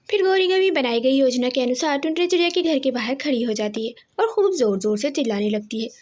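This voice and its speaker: A woman storyteller with a very high voice. She reads scripted narration for a children's animal tale. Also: expressive, fast talker, few pauses, darkish tone.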